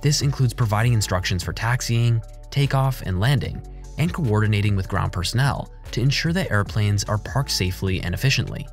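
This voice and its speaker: A man reading a scripted explainer as a documentary narrator, with a measured, formal delivery. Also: on the low side. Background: background music.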